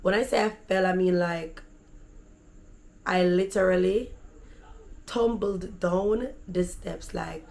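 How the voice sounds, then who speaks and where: Jamaican lady vlogging her stories, deep gravelly raspy voice, chatty, drops into patois. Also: takes it slowly, long pauses. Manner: animated, chatty, slips into patois. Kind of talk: casual storytelling.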